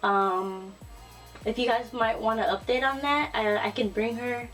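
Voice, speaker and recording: A young woman chats casually to the camera, relaxed and full of ums and self-corrections. She sits a little back from the mic, so her voice sounds somewhat distant. Faint music plays softly underneath. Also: a little high, unhurried. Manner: relaxed and chatty, frequent ums and restarts. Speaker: YouTuber. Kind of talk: casual tag video.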